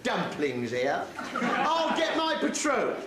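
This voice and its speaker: A man's voice: very high-pitched, wildly swooping pitch, slow pace, noisy background, heavily acted. Man playing a bossy, swooping English pantomime dame. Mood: brisk, bossy. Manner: theatrical, exclamatory, addressing girls and audience. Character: bossy comic pantomime dame leading a girls' patrol. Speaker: pantomime performer. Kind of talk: broad British pantomime comedy acting. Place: theatre stage before an audience. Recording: echoey stage hall, music behind.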